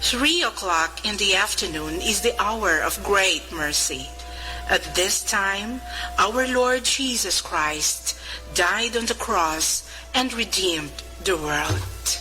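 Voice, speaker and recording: Female prayer announcer, bright forceful voice, slow scripted devotional reading, faint music behind. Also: mid-range voice, lively intonation, poor audio.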